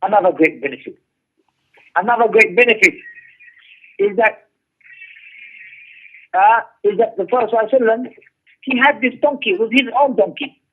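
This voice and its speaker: A high-pitched man gives a religious lecture over a narrow, telephone-like recording. He explains emphatically, circling back to repeat phrases and putting rhetorical questions to his listeners. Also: brisk, pauses often, breathy edge. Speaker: religious teacher giving a class. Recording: muffled narrow-band radio-like sound.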